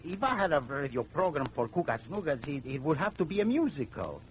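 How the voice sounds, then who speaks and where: A man playing an excitable, blunt Spanish-speaking guest in a 1940s radio comedy. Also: on the high side, fairly quick, a little hiss or hum. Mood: blunt, agreeable. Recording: dull, lo-fi 1940s radio recording with music.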